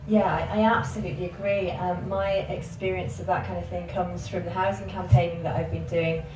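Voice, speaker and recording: A woman's voice: on the low side, little pitch movement, a little fast, noisy background. A woman speaking earnestly on a discussion panel as a housing campaigner. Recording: echoey, far from the mic, with music behind.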